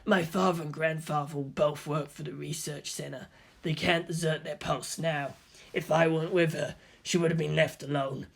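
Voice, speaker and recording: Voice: mid-range voice, fairly even intonation, moderate pace, gravelly. A man voicing a brooding, resentful teenage schoolboy in an audiobook, with blunt, subdued grumbling. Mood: sullen, bitter. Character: brooding, resentful tough schoolboy, worried older brother.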